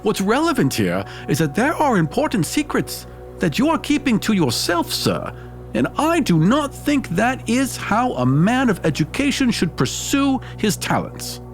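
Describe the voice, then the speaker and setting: A man's voice: high-pitched, huge pitch swings, measured pace, faint music behind, pitched above the performer's own voice, exaggerated voice. A man voicing an earnest, pompous dragonborn scholar in a tabletop game, with a formal, indignant manner. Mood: fussy, defensive. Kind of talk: improvised tabletop roleplay.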